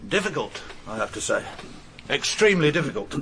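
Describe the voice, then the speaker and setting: A pompous businessman testifying in a courtroom radio play. His voice is bright and ringing, his manner blunt and cold, with faint noise behind him. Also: fairly high pitch, big pitch swings, fairly quick. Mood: disapproving. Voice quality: clear, ringing tones. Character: pompous, cold businessman stepfather in the witness box.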